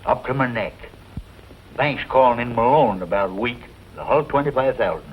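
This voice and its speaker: A man's voice: medium pitch, expressive, brisk, natural voice. An older man playing a feisty, folksy old ranch codger in a western, with rustic, ungrammatical speech. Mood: worried. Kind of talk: 1940s western film acting. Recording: dull, noisy old film soundtrack.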